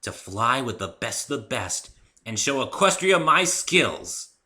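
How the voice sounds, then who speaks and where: Man doing a brash, forceful young mare. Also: medium pitch, very animated, measured pace, voice pushed up, full character voice. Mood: zealous, dreamy. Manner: confident, boastful, eager. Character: brash, zealous young female flying cartoon pony athlete.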